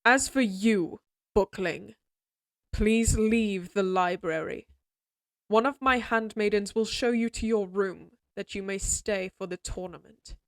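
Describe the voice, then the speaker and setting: A woman's voice: mid-range voice, slow, no echo. A woman playing a stern, middle-aged noblewoman and mother in a fantasy audio drama, speaking in a formal, commanding way. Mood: angry, commanding. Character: stern, imperious noble mother of a lady knight. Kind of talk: scripted fantasy audio roleplay acting.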